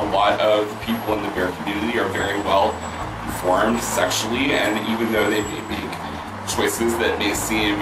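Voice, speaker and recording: A man hosting a vlog, answering viewer questions in casual chat, hoarse with a worn-out voice and rambling with many fillers and restarts. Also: fairly low pitch, background noise. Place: outdoors near a pool with loud music. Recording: roomy, mic at a distance, loud music behind.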